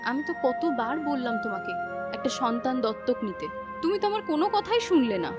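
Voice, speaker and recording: High-pitched, fretful village wife. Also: female voice, big pitch swings, quick pace, loud music behind the voice. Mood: chiding. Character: worried, protective village wife and mother. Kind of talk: animated moral-story voice acting.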